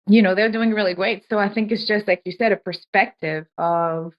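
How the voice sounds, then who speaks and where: A woman from Senegal hosts in a casual, relaxed way and drops bits of slang. Her voice sits at a mid pitch and sounds slightly dull and lo-fi. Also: normal speed. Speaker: podcast host, programmatic trainer. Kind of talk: conversational interview.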